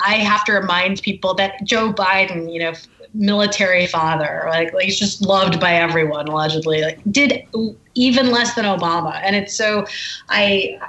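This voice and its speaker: A woman's voice: on the low side, bright, forceful tone, echoey room, poor audio. A woman guest who is an author, speaking in a forthright, indignant way and reading from her memoir. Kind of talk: reading aloud from her memoir, then conversation.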